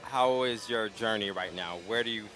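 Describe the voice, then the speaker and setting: A man hosting a casual live interview at an outdoor street festival, speaking in a calm, gentle, unhurried way. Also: lowish voice. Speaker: interview host.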